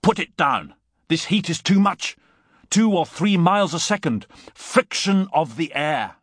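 Man acting a flustered, protesting gentleman, raised pitch. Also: lively intonation, measured pace, dry recording, clean studio sound. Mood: panicked. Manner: urgent, exclamatory pleading.

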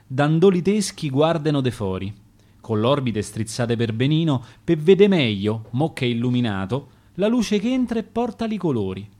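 A man with a Roman accent reciting his own rhymed sonnets in a measured, theatrical way for a radio broadcast. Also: medium pitch, darkish tone.

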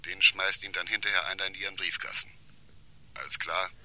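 An actor playing a cool, calculating blackmailer, terse and slangy, in a German radio crime drama. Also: male voice, deep voice, fairly even intonation, fairly quick, sharp tone, full character voice. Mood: cool, instructing. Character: shady male blackmailer on the telephone, cool and calculating.

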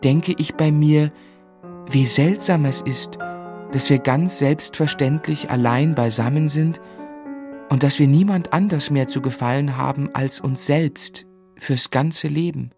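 A male actor playing an earnest, gently exasperated young husband in a literary radio play, measured and articulate. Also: a little high, over music. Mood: reflective, wry. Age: young adult.

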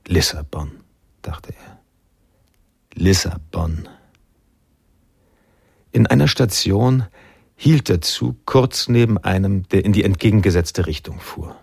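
A man narrating a literary radio drama in a measured, sombre manner, with a gravelly, husky voice. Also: low voice, darkish tone, dry recording, clean studio sound, full character voice.

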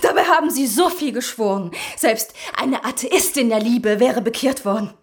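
A woman's voice: on the high side, moderate pace, slightly rough. An actress in a German radio drama playing a scheming, seductive society woman with a haughty, theatrical manner. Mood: haughty, reproachful.

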